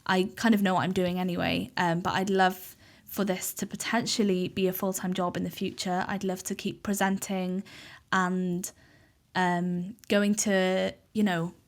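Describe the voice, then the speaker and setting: A woman's voice: fairly low pitch, a little slow. A woman in her twenties with a Welsh accent, a native Welsh speaker, chatting casually as a podcast guest with frequent ums. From Cardiff, Wales. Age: 20s. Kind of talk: casual interview chat.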